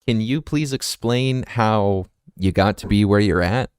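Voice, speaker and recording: American man, low dry voice. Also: a little slow, a little mellow, smooth, no room sound. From United States. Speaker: podcast host. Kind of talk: casual interview questions.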